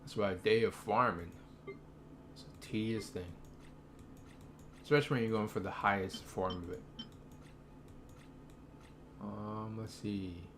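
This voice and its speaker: Laid-back young man, lowish voice. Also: fairly even intonation, slow, pauses often, fairly bright tone, slight background noise. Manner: laid-back, thinking aloud with slang. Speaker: gaming streamer. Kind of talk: casual gameplay commentary.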